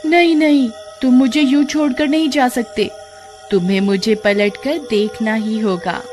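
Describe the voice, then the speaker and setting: A woman's voice: a little high, fairly even intonation, measured pace, a little mellow, voice pushed up, close to an everyday voice. A woman voicing a menacing female witch ghost in a horror cartoon, calling out in a coaxing, threatening way. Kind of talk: Hindi horror cartoon storytelling. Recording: music behind the voice.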